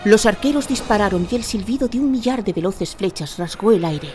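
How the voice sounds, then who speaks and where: A woman from narrates a fantasy radio drama. Her mid-pitched delivery is scripted and dramatic, with music playing clearly underneath. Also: animated, brisk.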